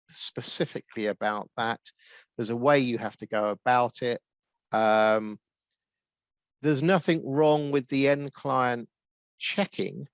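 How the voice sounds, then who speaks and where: A man with a Norwich accent, answering webinar questions as a guest expert with brisk explanations full of ums. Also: medium pitch, gravelly, no room sound. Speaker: guest expert on tax rules.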